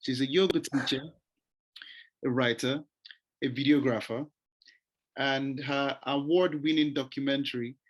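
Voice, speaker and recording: A man's voice: medium pitch, steady tone, measured pace, pauses often, poor audio. A man hosting an interview show, upbeat, rephrasing and repeating his questions. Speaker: host.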